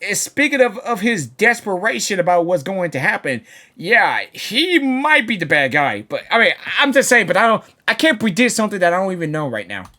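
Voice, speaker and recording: A woman's voice: mid-range voice, very animated, brisk, bright, forceful tone. A woman streamer giving casual, rambling reactions to a game as she plays, often repeating her words. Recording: game music behind voice.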